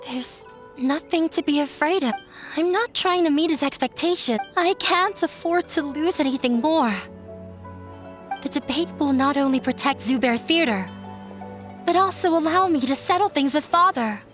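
Woman acting a high-voiced, embittered young theater performer. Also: moderate pace, music in the background, lightly acted. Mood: resolved. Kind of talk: scripted game dialogue.

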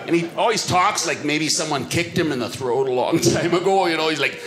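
A man in his fifties with a nasal Wisconsin accent, performing animated stand-up comedy on a theater stage with a handheld mic. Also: on the high side, fairly quick, crowd noise. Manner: animated, slips into exaggerated character voices. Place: theater stage before a live audience. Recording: roomy stage sound with audience laughter.

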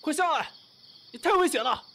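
A man's voice: extremely high pitch, expressive, brisk, pushed and bright, a little hiss or hum, full character voice. An actor in an audio drama playing a modern young man who is doting, exasperated and secretly lovesick. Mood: caring, coaxing.

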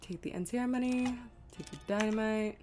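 A woman's voice: fairly high pitch, fairly quick, over music. A woman giving casual live commentary as she streams a video game, muttering to herself in an exasperated, self-deprecating way.

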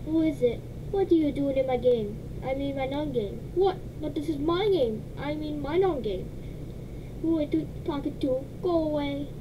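A woman voicing a bossy, panicky female operating system in a video game, shrill and excitable. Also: moderate pace, lightly acted. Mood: indignant. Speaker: game narrator voice. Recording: noisy game capture.

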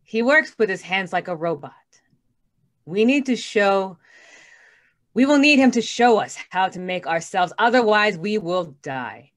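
A cold robot revolutionary leader from an old-time radio play, acted by a woman. The delivery is slow and commanding, in a middle pitch. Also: lively intonation, natural voice. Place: indoors, on a video call.

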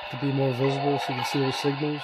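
A man giving a casual, halting hands-on walkthrough as a radio hobbyist, in loose unscripted phrasing. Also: mid-range voice, little pitch movement. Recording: loud radio static and hiss. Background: shortwave receiver noise.

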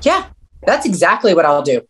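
A woman's voice: lowish voice, big pitch swings, moderate pace. A woman playing a firm, exasperated mother who protects her son, insistent in a script table read. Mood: angry. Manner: confrontational, insistent. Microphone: video call.

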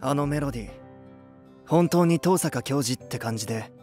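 A man's voice: medium pitch, normal speed, mellow, soft background music, close to an everyday voice. A male actor playing a cool young band musician in an anime voice drama. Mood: impressed.

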